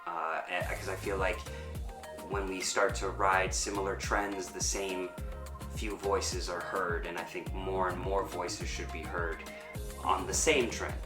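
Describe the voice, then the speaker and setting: Male interviewee, reflective performer, fairly deep voice, noticeably roomy recording. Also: fairly even intonation, unhurried. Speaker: performer and fight choreographer. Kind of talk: reflective interview answers to camera. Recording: roomy echo with music underneath. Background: background music.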